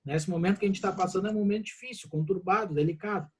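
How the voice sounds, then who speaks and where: A man's voice: high voice, rapid-fire, slightly rough. A Brazilian man, a law professor on a video call, talking casually and reassuringly to his students, peppering his speech with "né?". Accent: Brazilian Portuguese. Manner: casual, warm reassurance with frequent "né?". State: slightly congested. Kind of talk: online class Q&A.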